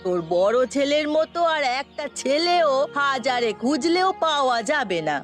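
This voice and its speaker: Woman acting a high-voiced meddling village aunt. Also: animated, normal speed. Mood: insinuating. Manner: gossipy, insinuating. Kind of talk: melodramatic Bengali audio story acting. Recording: music under the voice.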